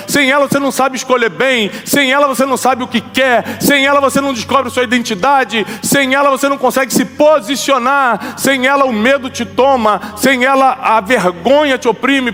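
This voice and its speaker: Male preacher in his forties, emphatic and high-pitched, long hall reverb with faint music under. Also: fairly bright tone. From Rio de Janeiro, Brazil. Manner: emphatic, repeats lines for the audience to echo. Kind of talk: sermon.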